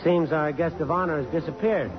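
Sardonic newsman, male actor, blunt and wisecracking, slightly high-pitched, muffled vintage radio drama sound. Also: brisk, crowd noise, close to an everyday voice. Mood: dry, curious. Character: sardonic, needling male magazine reporter. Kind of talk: 1950s radio drama acting. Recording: dull old radio broadcast, music.

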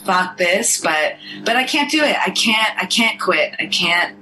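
A woman's voice: mid-range voice, lively intonation, measured pace, sharp tone, a little hiss or hum, poor audio. A young American woman, an actor whose first language was Farsi, chatting casually and rambling in an interview. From United States. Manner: chatty, rambling, says "like" a lot. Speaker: actor, guest.

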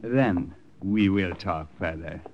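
An actor playing a courteous, cautious Siamese colonel with formal, deliberate phrasing in 1940s radio style. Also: male voice, low-pitched. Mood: composed, courteous. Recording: muffled old radio recording.

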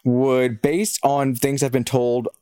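A man's voice: medium pitch, flat delivery, takes it slowly, warm tone, smooth, dry recording, clean studio sound. A man co-hosting, moving between a news update and casual banter in a wry, jokey, colloquial way. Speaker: co-host.

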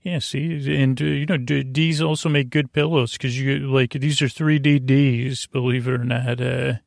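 A man with a creaky voice hosting a sleep podcast, rambling in a lulling, meandering, halting way. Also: medium pitch, fairly even intonation, dry recording, clean studio sound. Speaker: podcast host.

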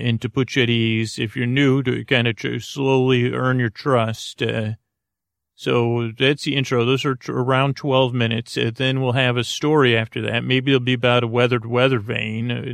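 A man with a creaky voice hosting a sleep podcast, delivering a meandering, hesitant bedtime monologue that drifts into tangents. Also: medium pitch, fairly bright tone, dry recording, clean studio sound. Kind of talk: rambling bedtime monologue meant to lull listeners to sleep.

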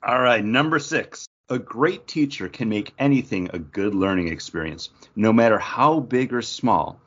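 A man reads quiz questions and chats casually as host. His voice sits low but swings widely in pitch. The sound is a little dull and lo-fi, like an internet call. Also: animated, normal speed, fairly bright tone, slightly rough. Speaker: podcast host running a trivia quiz. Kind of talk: casual chat, quizmaster reading questions. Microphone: online voice call.

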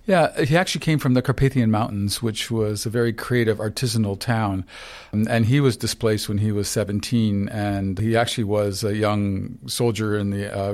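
A man's voice: on the low side, a little mellow. A Canadian man in his sixties, a photographer answering interview questions in an earnest, rambling way with frequent restarts. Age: 60s. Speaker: photographer guest.

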